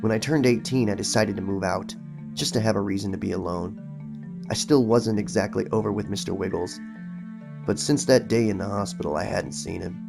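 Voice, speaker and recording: A man's voice: on the low side, normal speed, gravelly, music in the background. A man reading a horror story aloud in a dramatic, suspenseful storytelling manner. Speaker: horror story narrator and channel host. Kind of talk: dramatic creepypasta reading, then casual recap.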